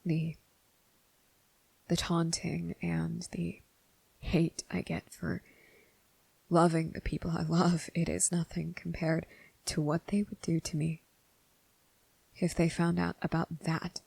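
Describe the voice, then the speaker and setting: A man playing a gentle, anguished young vampire in an ASMR roleplay, speaking in a hushed, halting way. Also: fairly high pitch, monotone, no room sound, heavily acted. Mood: anguished, fearful. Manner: hesitant, halting, breathy, hushed.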